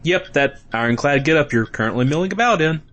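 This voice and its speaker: A man's voice: on the high side, expressive, fast, fairly bright tone. A man playing an exasperated, skeptical sidekick in a comedic audio drama, with a sarcastic, incredulous delivery. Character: skeptical, exasperated male radio newsman, reluctant sidekick.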